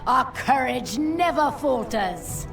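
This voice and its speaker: An actress playing a noble, defiant winged champion of courage in a video game, stern and declamatory. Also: female voice, high-pitched, expressive, slow pace, gravelly, exaggerated voice. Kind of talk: video game heroic acting. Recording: distant voice under loud music.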